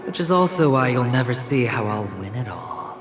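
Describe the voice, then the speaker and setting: A man voicing a suave, self-assured gambler in a video game, sly, wry and unhurried. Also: mid-range voice, sing-song, music in the background, natural voice. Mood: confident, wry. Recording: echoing space.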